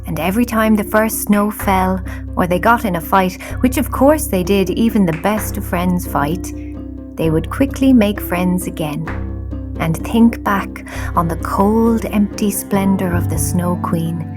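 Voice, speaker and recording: A woman's voice: mid-range voice, a little slow. Female storybook narrator, mid-range voice, music underneath.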